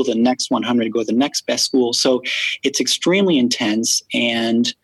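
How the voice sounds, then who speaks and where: A man from France, a podcast guest, speaking thoughtfully in a casual interview, with many pauses and fillers. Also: medium pitch, slightly rough, no room sound, poor audio.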